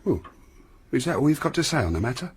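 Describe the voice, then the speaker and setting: Brief: a probing young detective for a radio play, with a slightly husky voice. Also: male voice, medium pitch, sing-song, fast talker. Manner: direct, probing. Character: earnest young male suitor, police detective.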